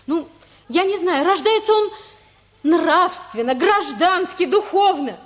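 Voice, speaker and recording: A woman's voice: very high voice, lively intonation, takes it slowly, full character voice. An actress playing an idealistic young wife who pleads eagerly and earnestly with her elders in a radio play. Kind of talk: stage-style radio play acting.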